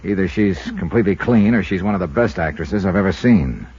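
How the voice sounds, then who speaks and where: A man's voice: deep voice, fairly quick, a little mellow, husky. An American man acting a dry, tough insurance investigator who narrates his case in a clipped, wry way, in 1950s radio drama style. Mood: dry, matter-of-fact. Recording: muffled vintage radio recording, music behind.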